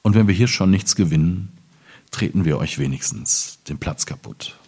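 A man speaking as a poet at a literary reading, in measured, clearly phrased German delivery. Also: deep voice, animated, darkish tone. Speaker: poet reading his own work. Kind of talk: literary reading of poems with informal introduction.

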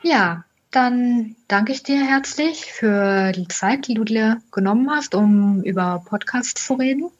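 A woman's voice: fairly high pitch, measured pace, few pauses. A woman, speaking conversationally as a podcast interviewer. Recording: slightly dull, lo-fi sound.

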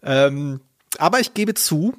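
A man's voice: on the high side, expressive, takes it slowly, a bit bright, dry recording. Man chatting casually, close and dry. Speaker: podcast host. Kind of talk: casual podcast chat about children's audio dramas.

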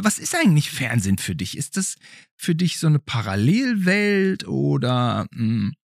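A man's voice: a little high, darkish tone, dry recording, studio quality. A man hosting a casual conversational podcast, talking in a colloquial, musing way with plenty of questions. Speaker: podcast host.

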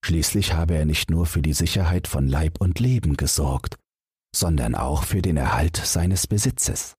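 A male audiobook narrator with a low, strongly breathy and husky voice. He reads a fairy tale for bedtime at an unhurried, measured pace, expressively. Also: a little mellow, no echo, clean studio sound.

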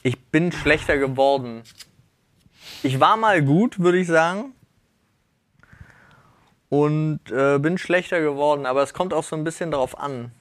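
A man co-hosting a casual podcast chat, easygoing and quick to laugh. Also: a little high, dry recording. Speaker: podcast co-host.

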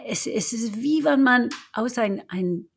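A middle-aged woman with an American accent and English as her first language, speaking German haltingly with many fillers and restarts as she tells her life story in an interview. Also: fairly high pitch, expressive, vocal fry, husky. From United States. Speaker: guest, actress. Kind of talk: casual interview, personal story.

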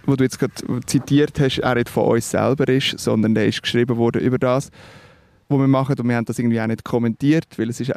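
A man's voice: mid-range voice, darkish tone. A man with a Swiss German accent, a musician being interviewed, talking thoughtfully and hesitantly with fillers and restarts. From Switzerland. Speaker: musician guest. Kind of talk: reflective interview talk.

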